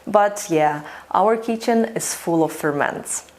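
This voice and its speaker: Low-voiced woman teaching home fermentation. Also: takes it slowly. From Russia. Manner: enthusiastic, conversational, with frequent "you know". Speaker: fermentation teacher and channel host. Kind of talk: instructional video talk to camera.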